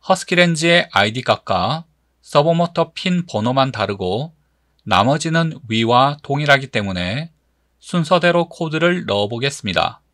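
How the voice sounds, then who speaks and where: A man giving scripted tutorial narration as an instructor, explaining step by step in a measured manner. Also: mid-range voice, fairly bright tone, no echo, studio quality.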